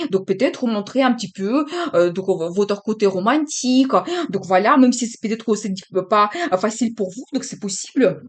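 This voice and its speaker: Higher-pitched woman giving a halting tarot reading. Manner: hesitant, many fillers, encouraging. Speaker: tarot reader.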